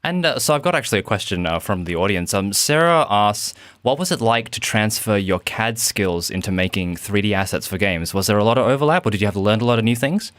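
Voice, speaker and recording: Male podcast host, fairly low voice, conversational interviewing, dry recording. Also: lively intonation, quick pace, hardly any pauses, fairly bright tone, no echo. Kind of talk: casual hosting and interviewing.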